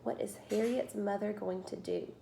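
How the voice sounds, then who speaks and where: A woman teacher reading a picture book aloud to children, with expressive, emphatic storytelling and drawn-out phrases. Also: mid-range voice, vocal fry, a little hiss or hum, poor audio.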